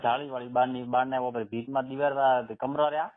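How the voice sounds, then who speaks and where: A man's voice: medium pitch, level tone, a little fast. A man, chatting casually and prodding with short questions. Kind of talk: casual phone chat. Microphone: phone line. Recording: narrow, tinny phone call recording.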